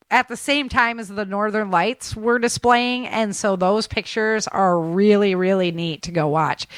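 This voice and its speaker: Chatty woman host reading out updates, clean crisp voice, really dry sound, pretty informal. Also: mid-range voice, no echo. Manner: chatty, informal, addresses listeners as guys. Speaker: show host. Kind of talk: informal news rundown on a talk show.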